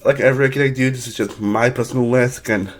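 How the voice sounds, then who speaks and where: A man's voice: mid-range voice, steady tone, fast talker. A young man hosting a casual game ranking video, rambling with many restarts, his voice a little off from allergies. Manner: rambling, with frequent restarts and fillers. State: voice a bit off from allergies. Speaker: video game reviewer, channel host.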